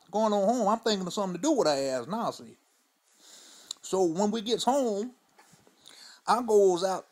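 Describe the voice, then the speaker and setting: A man playing an older, crude storyteller who speaks in a loose, profane, rambling drawl and keeps asking "you know" and "see?". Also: high voice. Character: crude old Southern man spinning tall tales. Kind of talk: stand-up character monologue.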